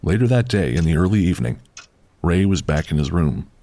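Very low-voiced male horror narrator. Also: sing-song, fairly quick, warm tone. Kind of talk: scripted horror audio drama narration.

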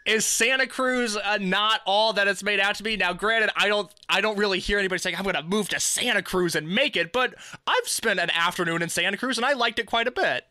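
A young man with a very bright, high voice hosts a casual chat, cracking jokes and wandering before he lands on a curious question. The sound is dry and close. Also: a little fast, hardly any pauses, no echo. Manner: joking, rambling, curious questions. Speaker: podcast host.

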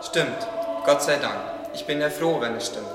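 A man's voice: a little high, normal speed, close to an everyday voice. Thoughtful gardener played by a man, echoing sound. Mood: thoughtful, devout. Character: thoughtful, devout male gardener, friend to animals. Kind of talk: German radio play acting. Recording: echoey hall, clear background noise.

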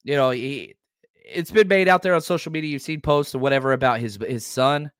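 A man's voice: fairly high pitch, a little fast, a bit bright, smooth, dry recording, studio quality. A man in his forties hosting a sports podcast, talking emphatically and repeating phrases for stress. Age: 40s. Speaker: podcast host.

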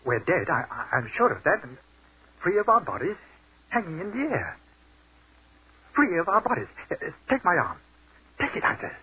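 An actor playing an earnest army captain who has died, speaking urgently in 1940s radio-drama style. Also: male voice, high voice, wildly swooping pitch, normal speed, pauses often. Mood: wondering. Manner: urgent and stammering, then rapturous. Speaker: lead actor. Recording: tinny old radio broadcast with hiss.

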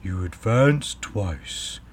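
A man's voice: low voice, expressive, very slow, breathy edge, full character voice. A man voicing a sad, tearful, earnest sea turtle in a storybook. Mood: animated, instructing. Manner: mournful, solemn, earnest. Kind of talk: storybook character voice.

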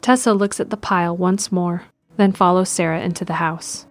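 Low-pitched woman narrating an audio drama. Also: fairly even intonation, moderate pace, faint music behind. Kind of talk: scripted present-tense audio drama narration.

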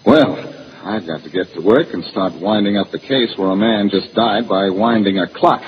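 Noisy old radio recording, male actor as decisive detective, very low voice, cool manner. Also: moderate pace, dull audio, close to an everyday voice. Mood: brisk. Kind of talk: 1940s radio mystery acting.

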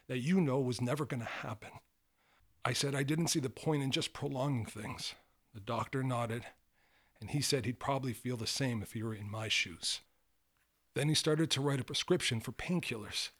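Old guy full of regret in an audio drama, subdued and halting, slightly husky voice. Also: mid-range voice, fairly bright tone. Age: older. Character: remorseful dying old man, recovering addict and ex-convict.

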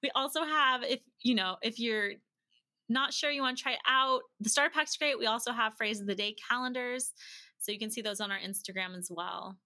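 A woman's voice: on the high side, fairly quick, bright, forceful tone. A woman chatting informally as an interview guest, playful and easily amused. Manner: playful, informal, breaks into laughter. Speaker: podcast guest, language-learning business representative. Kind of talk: casual interview chat.

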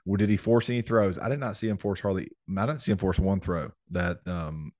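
A man's voice: low-pitched, a little fast, darkish tone, no room sound, studio quality. A man in his thirties, a former football lineman, co-hosting a sports podcast in a laid-back, rambling way. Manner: laid-back, rambling, many fillers and restarts. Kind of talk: casual sports chat.

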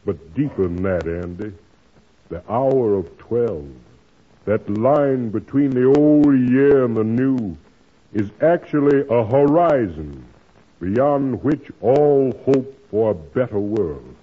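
An actor playing a kindly, earnest preacher who gives moral counsel in a measured, sermon-like way, in 1940s radio drama style. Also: male voice, mid-range voice, animated. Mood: earnest, preaching. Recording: tinny old radio broadcast.

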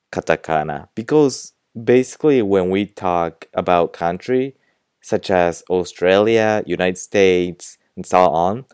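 A young man with a Japanese accent, whose first language is Japanese, hosts a casual podcast lesson in English. His voice is low and smooth, recorded close and dry with no room sound. He rambles in a friendly way, with many "like"s and "you know"s. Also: a little mellow, dry recording. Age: young adult. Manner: chatty, fills speech with "like" and "you know". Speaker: podcast host and language teacher.

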